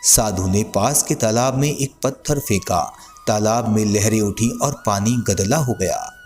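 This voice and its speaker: A man narrating a guided sleep meditation in a soothing, gently instructing manner. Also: fairly low pitch, measured pace, soft background music.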